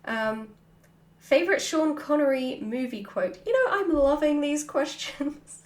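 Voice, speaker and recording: A woman with a fairly high voice talks in a casual, chatty way, as if answering questions from followers. Her delivery is animated and playful. The recording has a noticeably echoey, roomy sound. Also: a little slow. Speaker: writer hosting a Q&A.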